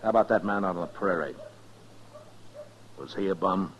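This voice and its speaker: A man's voice: low-pitched, moderate pace, lightly acted. An actor playing a stern, steely frontier lawman in a 1950s radio western, speaking in a terse, commanding way. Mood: grim, probing. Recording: dull, noisy 1950s radio recording.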